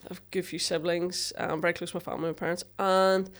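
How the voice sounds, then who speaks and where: A woman's voice: on the low side, little pitch movement, quick pace. A woman from Strabane with a Northern Irish accent, co-hosting a podcast in casual, bantering chat. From Strabane, Northern Ireland. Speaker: podcast co-host, comedian.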